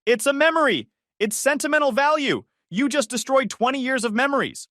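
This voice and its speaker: A woman's voice: animated, fairly quick, a bit bright, no echo, clean studio sound. A man voicing a furious middle-aged woman neighbor who rants indignantly over her ruined garden. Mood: ranting. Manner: shrill, indignant ranting.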